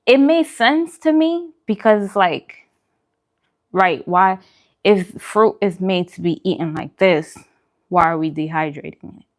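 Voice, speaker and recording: A woman's voice: fairly low pitch, slow. A woman chatting casually as a vlog host, informal and conversational, often repeating phrases for emphasis. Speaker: host of a food and vlog channel. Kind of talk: casual eating-show chat answering viewer questions.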